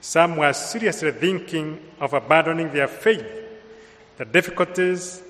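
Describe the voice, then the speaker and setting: A man with a Kenyan accent giving a homily in a slow, measured way with pauses. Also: on the high side, a bit bright. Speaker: priest. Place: large church with long reverb. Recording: reverberant church hall sound.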